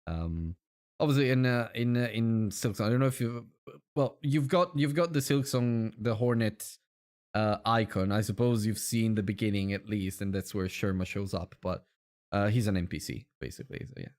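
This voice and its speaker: A man with an Italian accent, a native Italian speaker, chatting casually and in a friendly, halting way as a game streamer. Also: lowish voice, darkish tone. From Italy. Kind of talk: casual livestream chat.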